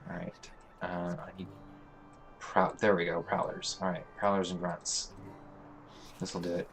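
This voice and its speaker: A man hosting a let's play, talking casually through a game with a hoarse, congested voice and many fillers. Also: low voice, music in the background. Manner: relaxed, halting, thinking aloud with many fillers. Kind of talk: casual gameplay commentary.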